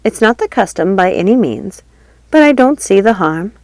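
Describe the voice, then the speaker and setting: A woman's voice: medium pitch, sing-song, moderate pace, darkish tone, no room sound. A woman voicing a stately elderly fairy godmother who addresses students in a grand, formal way. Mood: mild.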